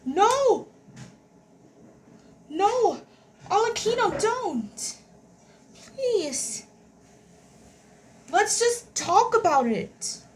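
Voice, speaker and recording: A woman's voice: extremely high pitch, sing-song, extremely slow, pauses often, slight background noise. A woman playing through a video game, reacting and reading along in a dramatic, exclamatory way. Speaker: gameplay streamer. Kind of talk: playthrough reactions and reading aloud.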